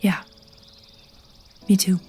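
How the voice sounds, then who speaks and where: An actress playing a hurt, loving young woman in an audio drama, plaintive and halting. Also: warm tone, slight background noise. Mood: hurt, sad. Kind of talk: naturalistic audio drama acting.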